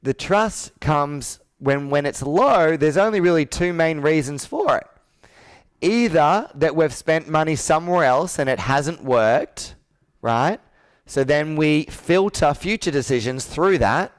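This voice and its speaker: Male sales coach, 30s, smooth voice, dry close sound, pushes with probing questions. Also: on the high side, animated, measured pace, dry recording. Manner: assertive, probing, rhetorical questions. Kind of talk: persuasive coaching on a sales call. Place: indoor office with a flip chart, on a video call.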